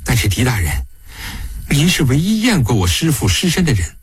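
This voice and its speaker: A man narrating an audiobook, voicing a sorrowful, devoted young woman prisoner who speaks softly, haltingly and pleadingly. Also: medium pitch, sing-song, husky, dry recording, studio quality.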